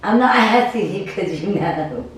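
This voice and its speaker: A woman doing a cold read of a story game aloud, stumbling over words and adding casual comments. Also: low-pitched. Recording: very echoey room, distant mic, faint noise.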